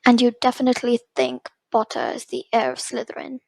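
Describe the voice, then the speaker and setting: A fair-minded young schoolgirl in an audio play, acted by a woman. Her voice is husky with a creak and moves animatedly as she questions, in a dry recording. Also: fairly high pitch, sing-song, brisk, a little mellow, no room sound, heavily acted. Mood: doubtful. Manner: questioning, hesitant. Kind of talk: audio drama character acting.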